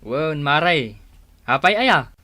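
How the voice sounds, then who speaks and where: A man's voice: fairly high pitch, very animated, slow, bright, forceful tone, slight background noise, exaggerated voice. A man acting in an Ilocano radio drama as an earnest, agreeable village man, speaking with an Ilocano accent.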